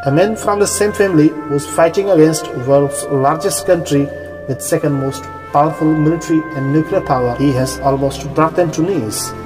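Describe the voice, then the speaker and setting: Man, documentary intro narrator, solemn, measured, distant echoey recording, loud backing music. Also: a little high.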